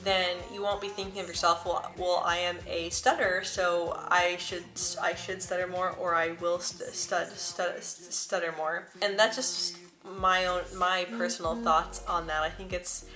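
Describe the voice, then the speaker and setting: A young woman talks casually to camera, often stuttering on sounds and whole words. Her voice is bright and sits slightly low. Soft music plays faintly behind her. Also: level tone, a little slow, few pauses. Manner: earnest and personal. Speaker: vlogger. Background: soft background music.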